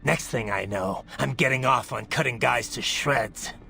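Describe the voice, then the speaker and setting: A man voicing a brooding, bloodthirsty cyborg soldier in a video game, with a menacing gravelly growl and intense, clipped phrases. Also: medium pitch, measured pace, pushed and bright, full character voice. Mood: grim, boastful. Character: brooding, bloodthirsty male cyborg soldier, haunted former child soldier. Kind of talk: dramatic video game voice acting. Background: music.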